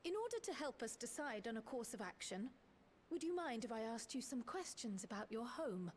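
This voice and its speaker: A woman playing a polite, earnest young scholarly noblewoman in a video game, with a formal, measured manner. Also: fairly high pitch, very animated, slight background noise. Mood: polite, curious. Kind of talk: video game cutscene voice acting.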